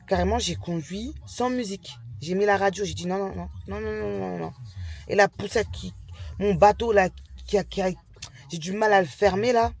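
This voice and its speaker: A young woman chatting casually in a vlog, with a stammer that makes her repeat and restart words in a halting way. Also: mid-range voice, vocal fry, darkish tone. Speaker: lifestyle vlogger, mother of young children. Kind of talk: casual chat to camera.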